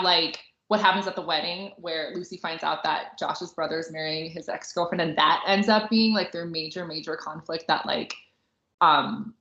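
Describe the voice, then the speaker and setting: A woman's voice: fairly low pitch, a bit bright. A woman co-hosting a podcast, chatting casually and informally about books and films, with plenty of "like" in her talk. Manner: chatty, informal, heavy use of "like". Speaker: podcast co-host. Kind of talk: casual book and film discussion. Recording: roomy echo, slightly dull sound.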